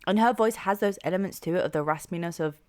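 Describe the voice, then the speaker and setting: A young woman hosting a music reaction channel, chatting casually and enthusiastically with a soft voice and lots of "like" and "um". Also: fairly low pitch, fast. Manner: chatty and enthusiastic, heavy use of "like" and "um".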